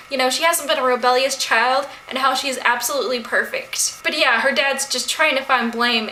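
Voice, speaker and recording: A woman's voice: high-pitched, bright, forceful tone. A woman chatting casually about books as a vlogger, slangy and full of asides and questions to viewers. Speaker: book vlogger. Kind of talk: casual book discussion to camera. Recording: echoey room with faint noise.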